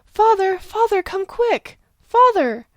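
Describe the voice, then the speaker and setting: A woman's voice: very high-pitched, sing-song, takes it slowly, no echo, pitched above the performer's own voice, heavily acted. A woman voicing a terrified young demon girl, breathless and choked with sobs in an audio drama. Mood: frantic, terrified.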